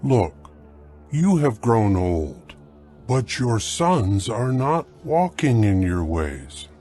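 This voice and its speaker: An actor playing a frank Israelite elder in a dramatized scripture recording, speaking in a deliberate, declaratory way. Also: male voice, medium pitch, big pitch swings, warm tone. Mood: frank, grave. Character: frank male Israelite elder confronting a leader. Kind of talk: dramatized scripture audio acting.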